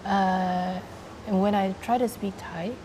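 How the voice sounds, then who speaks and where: Young filipina woman chatting soft and halting, noisy background. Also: mid-range voice, fairly even intonation. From Philippines. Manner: soft-spoken, hesitant with many pauses, laughs often. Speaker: interviewee. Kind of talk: casual interview chat.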